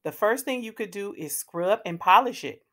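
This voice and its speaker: A woman's voice: medium pitch, expressive, normal speed, fairly bright tone. A woman voicing a helpful, practical young girl in a children's storybook, encouraging and matter-of-fact.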